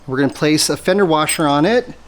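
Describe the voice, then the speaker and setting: A man's voice: fairly high pitch, expressive, fast talker. A man presenting a methodical, step-by-step hands-on installation tutorial for a product he made. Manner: methodical, explanatory. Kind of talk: step-by-step hands-on how-to demonstration.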